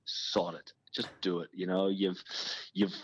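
A middle-aged man from Ireland with an Irish accent, talking as a guest in a relaxed, chatty way, often restarting his sentences. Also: low voice, a little slow, vocal fry, slightly rough, lo-fi sound. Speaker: guest, fitness business founder. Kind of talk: conversational interview.

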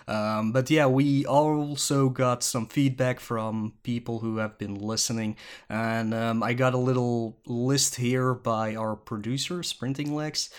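A young Belgian man with a Flemish accent, a native Dutch speaker, hosting a casual solo podcast with lots of ums and self-mocking restarts. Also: lowish voice. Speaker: podcast host.

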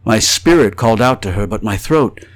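A man's voice: lowish voice, lively intonation, moderate pace, natural voice. Theatrical, anguished ghost of a man, acted out. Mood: haunted, grave. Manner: theatrical, florid, swelling from hushed to frantic cries. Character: anguished male spirit trapped outside his undead body. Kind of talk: old-fashioned melodramatic horror radio monologue.

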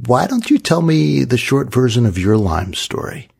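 Male podcast host, husky voice, relaxed friendly interviewer. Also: medium pitch, moderate pace. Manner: friendly, laughs easily, relaxed. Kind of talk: casual interview chat.

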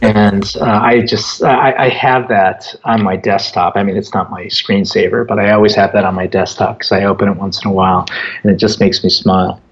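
A middle-aged American man with a fairly low voice, whose first language is Japanese, speaks as an interview guest. He tells stories conversationally, choosing words with hesitant pauses. The recording is a little dull and lo-fi. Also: fairly even intonation. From born in Japan, grew up in the United States from age four. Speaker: interview guest, app company founder. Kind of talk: conversational interview, anecdotal storytelling.

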